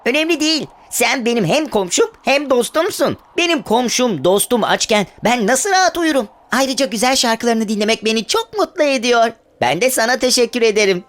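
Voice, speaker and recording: A woman voicing a kind, wise ant in a children's animated fairy tale, speaking in an earnest, reassuring way. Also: fairly high pitch, a little fast, hardly any pauses, lightly acted. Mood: warm gratitude. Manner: earnest, reassuring, gently instructive. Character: kind, caring, wise ant giving friendly advice.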